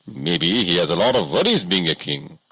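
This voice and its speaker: Man playing a husky, low-voiced disguised king. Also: very animated, normal speed, bright, forceful tone, no echo, heavily acted, rough recording. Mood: reassuring. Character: calm, commanding king disguised as a farmer. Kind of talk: audiobook storytelling.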